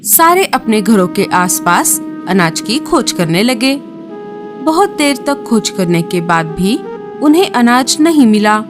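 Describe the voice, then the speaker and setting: A woman narrating a children's cartoon in a slow, even storytelling way. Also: fairly high pitch, music in the background.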